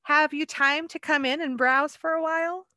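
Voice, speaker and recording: A woman's voice: sits high, fairly even intonation, normal speed, bright, forceful tone, dry recording, clean studio sound, pitched above the performer's own voice. A woman voicing a kindly older male shopkeeper who welcomes a visitor into his shop. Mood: friendly.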